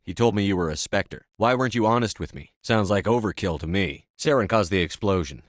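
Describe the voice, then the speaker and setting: A man's voice: fairly low pitch, animated, a little fast, dry recording, clean studio sound, lightly acted. A man playing a commanding, no-nonsense military officer in a video game, speaking in a terse, direct, authoritative way. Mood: probing, serious. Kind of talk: scripted game dialogue.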